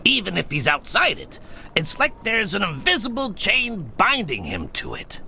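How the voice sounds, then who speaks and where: An actor voicing a kindly, calm elderly Smurf village leader in a video game, speaking with reassuring authority. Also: male voice, high-pitched, moderate pace, creaky, slight background noise, heavily acted. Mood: firm, explanatory. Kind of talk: video game cutscene acting.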